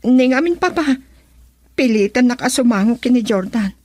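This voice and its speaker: High-voiced young Filipino wife. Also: female voice, animated, moderate pace, dark tone, no echo, studio quality. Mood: worried, pleading. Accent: Filipino (Ilocano). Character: young pregnant wife and dutiful daughter, tender and anxious. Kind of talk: Ilocano radio drama acting.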